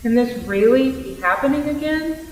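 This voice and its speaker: A woman performing an insistent student in a rehearsed readers theater recitation, measured and emphatic. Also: sits high, lively intonation, a little mellow. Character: insistent female student speaking out about injustice. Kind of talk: rehearsed dramatic group recitation. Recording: echoey hall, distant mic.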